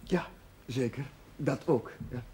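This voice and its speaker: A gentle chaplain played by a man talks in a naturalistic television drama. He is halting and deferential, repeating small words in a medium-pitched voice. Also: slight background noise, close to an everyday voice. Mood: flustered, concerned.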